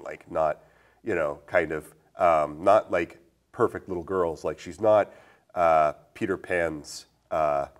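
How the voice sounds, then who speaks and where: A man hosting a casual film discussion, talking in a hesitant, rambling way full of fillers. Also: sits low. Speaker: podcast host.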